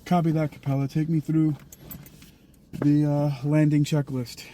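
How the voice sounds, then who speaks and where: A man with a soft, dark tone and fairly high pitch plays an astronaut on a mission. He speaks in measured, brief confirmations. Background noise runs under his voice. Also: little pitch movement. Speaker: role-player acting as an astronaut. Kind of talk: improvised radio-style mission dialogue.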